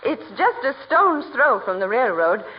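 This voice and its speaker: A grand society lady, haughty and condescending in old radio comedy style. Her high voice swoops theatrically through genteel phrases. The recording is dull and dated. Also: big pitch swings, unhurried. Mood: icily polite. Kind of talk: 1940s radio comedy acting. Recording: muffled 1940s radio recording.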